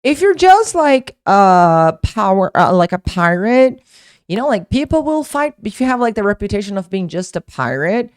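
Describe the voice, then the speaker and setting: A woman's voice: medium pitch, sing-song, normal speed. A young woman whose first language is Portuguese, teaching English in a casual online lesson, talking in a chatty way with many fillers and restarts.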